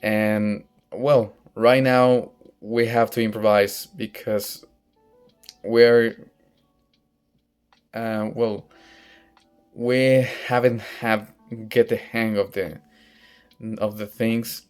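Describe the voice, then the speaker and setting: A young man with a Mexican Spanish accent talks slowly and hesitantly, stopping often to restart sentences and hunt for the right English word. His low voice is smooth and clear, held in a flat, even tone. Faint music plays behind him. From northern Mexico. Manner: hesitant, searching for words, frequent restarts. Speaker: vlogger practicing English. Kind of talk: casual personal monologue. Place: outdoors in sunlight.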